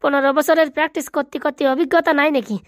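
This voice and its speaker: Casting: woman for cocksure comic village doctor, quick-talking and high, recorded very dry. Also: steady tone, dry recording, natural voice. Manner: boastful, emphatic. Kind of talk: comic cartoon voice acting.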